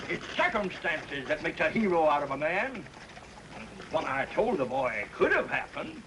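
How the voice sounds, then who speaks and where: An actor playing a boastful, excitable old prospector grandfather with a folksy American drawl in a 1950s television western. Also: on the high side, normal speed, a little hiss or hum, rough recording. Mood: defensive. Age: older. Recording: old TV soundtrack with background music.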